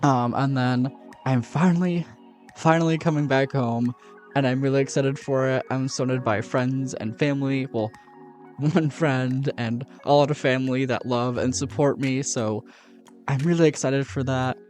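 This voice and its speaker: A man's voice: mid-range voice, steady tone, normal speed, a little mellow, faint music behind. A man from Texas giving a casual, upbeat vlog update from his bedroom, often repeating words for emphasis. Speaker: furry vlogger.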